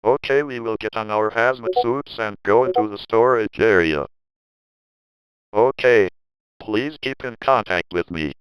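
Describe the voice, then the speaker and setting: A man's voice: lowish voice, measured pace, smooth, no echo, dull audio, close to an everyday voice. Man acting as an alarmed hazmat team agent. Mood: tense, businesslike. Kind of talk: amateur horror scenario acting.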